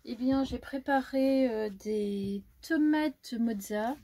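A woman chatting casually and slowly, in a quiet, relaxed way. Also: fairly high pitch, a little hiss or hum. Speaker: travel companion. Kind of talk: casual chat.

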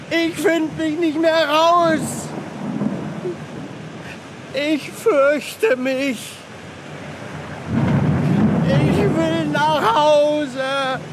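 An impulsive young miller's son in a fairy-tale radio play. His voice is very high for a man and very slow, eager and pleading in manner. Clear noise lies behind him. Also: heavily acted. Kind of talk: German fairy-tale audio drama acting.

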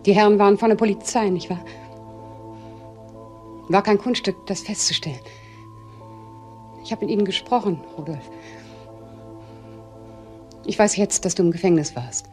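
A woman's voice: mid-range voice, normal speed, long pauses, darkish tone, lightly acted. An actress playing an anxious, insistent woman in a crime radio drama, pleading and probing in short phrases. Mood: insistent, accusing. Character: anxious, insistent woman confronting a troubled man. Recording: music under the dialogue.

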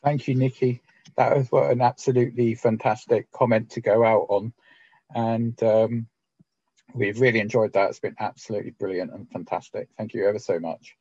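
Effusive middle-aged man, husky and mellow. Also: on the low side, fairly even intonation, moderate pace, no echo. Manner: effusive, hesitant. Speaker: host. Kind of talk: closing thanks at an online talk. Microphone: webcam on video call. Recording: dry, muffled webcam audio.

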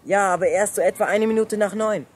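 A woman playing a resourceful, confident young boy who leads a gang in a children's radio play, speaking briskly. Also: mid-range voice, husky, natural voice. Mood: decisive. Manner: brisk, commanding, decisive.

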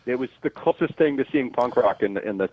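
A man in his late forties, a guest caller in a casual chat, talkative and reflective with halting restarts. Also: mid-range voice, lively intonation, no room sound, highs cut off. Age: 40s.

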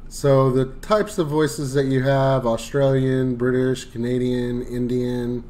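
A man hosting a casual screen-recorded software tutorial, talking loosely with lots of fillers and enthusiastic asides. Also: mid-range voice, level tone, slow, a little hiss or hum. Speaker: tutorial host.

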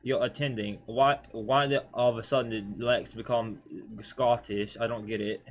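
A man's voice: medium pitch, fairly even intonation, normal speed. A young man, a gaming streamer, giving casual, sweary, slangy commentary as he plays. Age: young adult. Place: indoors at a computer.

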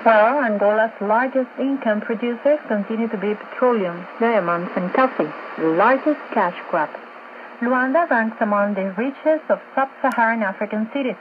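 Higher-voiced woman on tinny shortwave radio. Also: moderate pace, noisy background. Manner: formal, explanatory. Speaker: radio journalist. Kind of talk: scripted informative radio discussion. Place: radio studio, heard over a shortwave receiver.

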